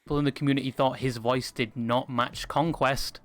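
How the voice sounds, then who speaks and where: A man's voice: medium pitch, steady tone, a little fast. A man narrating a scripted video review with wry, crude humour. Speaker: video essayist.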